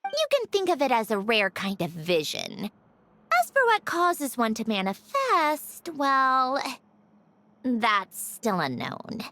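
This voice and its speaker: A woman voicing a young female automaton in a video game, speaking in a measured, explanatory, slightly sardonic way. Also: on the high side, wildly swooping pitch, pushed and bright, a little hiss or hum, exaggerated voice. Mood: explaining. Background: game soundtrack music.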